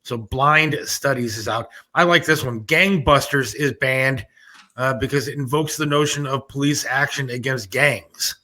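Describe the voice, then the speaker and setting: A man hosting a casual podcast, briskly reading items off a list and chatting with his co-host. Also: medium pitch, sharp tone.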